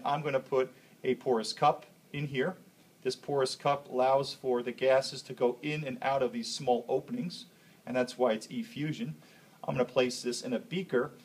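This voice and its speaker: A man's voice: mid-range voice, slightly rough. A man speaking as a chemistry teacher, explaining a hands-on demonstration step by step and checking in as he goes.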